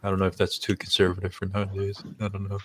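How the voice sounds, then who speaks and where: A man from the United States talks casually in a group voice chat. His voice is very low. There is slight background noise and clicking on his audio. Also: monotone, racing. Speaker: stream chat participant.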